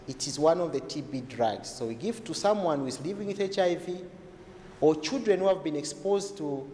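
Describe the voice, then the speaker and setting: A man's voice: a little high, animated, normal speed, warm tone. A man giving a didactic explanation as a health expert, repeating points and asking rhetorical questions. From Zambia. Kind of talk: explanatory talk to camera. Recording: echoey reverberant room, faint noise.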